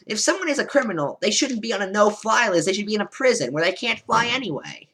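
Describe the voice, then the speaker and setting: A man casually rambling through his notes on a debate, sarcastic and animated. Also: high voice, fast talker, a little hiss or hum. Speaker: political commentator vlogger. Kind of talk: casual reaction commentary over notes.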